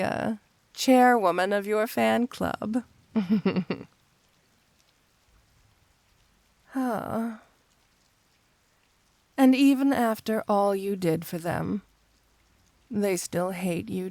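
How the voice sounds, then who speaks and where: A woman's voice: mid-range voice, creaky, dry recording. A woman playing a flirty, smug supervillain cat burglar in an intimate ASMR roleplay, teasing and coaxing the listener with playful confidence. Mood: teasing, sympathetic.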